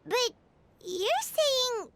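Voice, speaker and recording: A woman voicing a small, childlike, earnest fairy companion with a squeaky voice in a video game. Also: sing-song, drawn-out words, creaky, very noisy, full character voice. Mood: dismayed. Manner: earnest, pleading.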